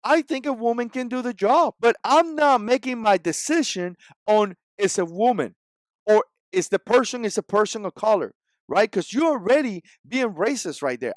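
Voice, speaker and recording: A man in his forties speaks English with a Cuban Spanish accent, his first language being Spanish. His voice sits high for a man, and he hammers his points home with emphatic repetition. The recording is close and dry, with no room sound. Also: no echo. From Cuba. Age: 40s. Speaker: vlogger. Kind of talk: opinion commentary vlog.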